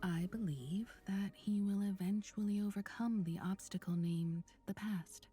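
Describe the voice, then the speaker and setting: A woman's voice: medium pitch, unhurried, dark tone, slightly rough. An actress voicing a composed, supportive mature woman in a video game, with a reassuring manner. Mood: warm, supportive. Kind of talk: video game character voicelines.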